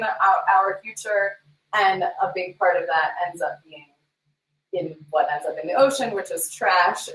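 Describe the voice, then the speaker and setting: A woman in her thirties from New York, a scuba instructor, talking earnestly in a casual interview and laughing between phrases. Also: medium pitch. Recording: echoey room, distant from mic.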